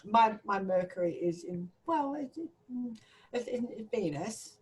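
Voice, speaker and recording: A woman's voice: medium pitch, expressive, slow pace, darkish tone, a little hiss or hum. A woman chatting casually, with a direct, jokey and sometimes sweary way of talking. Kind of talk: casual conversational chat.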